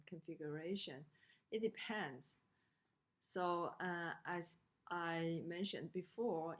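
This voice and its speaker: Female lecturer, Chinese accent, a little low in pitch, very unhurried and halting delivery, talking through slides. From China. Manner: halting, with frequent pauses and hesitations. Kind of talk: slide lecture on design usability.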